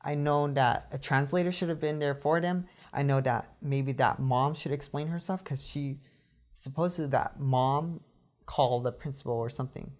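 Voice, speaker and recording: A man's voice: medium pitch, normal speed. A young man giving an earnest, reflective account, thinking aloud and often adding "you know". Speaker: interviewee.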